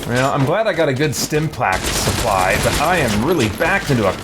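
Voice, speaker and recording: A man's voice: mid-range voice, big pitch swings, moderate pace, over loud music. A man giving casual gameplay commentary, thinking aloud to himself with mild folksy exclamations. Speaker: let's play host, gamer.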